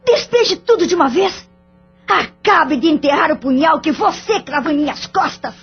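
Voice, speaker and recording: Casting: actress as a bitter betrayed wife, very high and contemptuous, dull recording. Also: female voice, animated, normal speed. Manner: cutting and contemptuous. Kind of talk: audio drama acting. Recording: dull lo-fi audio with faint music.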